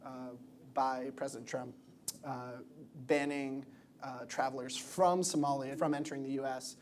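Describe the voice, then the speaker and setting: A man's voice: mid-range voice, steady tone, moderate pace, a little mellow, a little hiss or hum. A man from the East Coast hosting a comedic interview show in front of a live audience, teasing and laughing with his guest. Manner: joking, laughing, playful teasing. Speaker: talk show host. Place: small theater stage with live audience.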